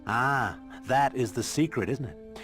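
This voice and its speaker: A man's voice: medium pitch, a little slow, music in the background, natural voice. A man voicing a genial, enthusiastic middle-aged scientist and mentor in a video game, speaking in an avuncular way.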